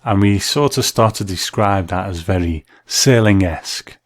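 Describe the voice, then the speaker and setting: A man giving scripted commentary as a reviewer, in a measured, analytical manner. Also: low voice, no room sound. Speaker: narrator reviewing classic television drama.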